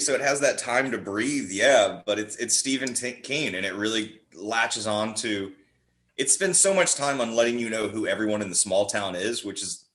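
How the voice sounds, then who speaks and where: A man's voice: medium pitch, fairly bright tone. A man chatting casually as a podcast guest, talking in an informal, emphatic way like an eager fan. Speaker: podcast guest, horror writer and performer. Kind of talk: casual chat.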